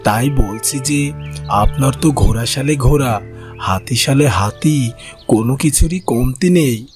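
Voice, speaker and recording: A man's voice: mid-range voice, measured pace, music in the background, lightly acted. A man voicing a solemn, vengeful old wandering holy beggar in a fairy-tale cartoon. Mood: pleading, respectful.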